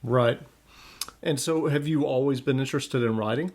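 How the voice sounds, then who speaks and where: A man's voice: medium pitch, moderate pace, darkish tone. A man hosting a podcast, putting questions to a guest in a conversational interview style. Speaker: podcast host interviewing an author.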